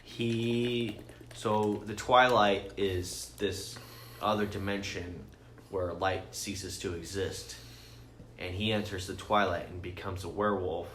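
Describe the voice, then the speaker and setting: A man's voice: sits low, fairly even intonation, slow, a bit bright. A man co-hosting a podcast, explaining things in a casual, enthusiastic, geeky and sweary ramble. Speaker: podcast co-host. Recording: roomy echo with faint noise.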